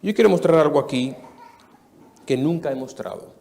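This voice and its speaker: A man in his thirties from the Dominican Republic, preaching a sermon testimony to a live church audience in an earnest storytelling way, moving between soft and emphatic. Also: on the high side, animated, moderate pace, vocal fry.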